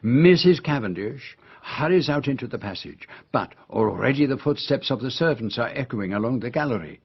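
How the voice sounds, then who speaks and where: An actor playing a methodical, patient detective in a radio drama, explaining deliberately with dramatic emphasis and pauses. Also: male voice, mid-range voice, expressive, breathy edge, lo-fi sound. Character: methodical, theatrical, patient male detective unravelling a murder.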